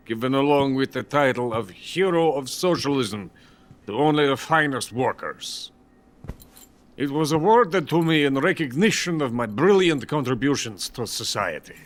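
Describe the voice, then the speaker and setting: An actor playing a bitter, boastful older Soviet weapons scientist who is drunk and rambles theatrically in a video game. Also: male voice, mid-range voice, a bit bright. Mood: boastful pride. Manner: grandiose, self-pitying, theatrical rambling. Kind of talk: dramatic video game voice acting.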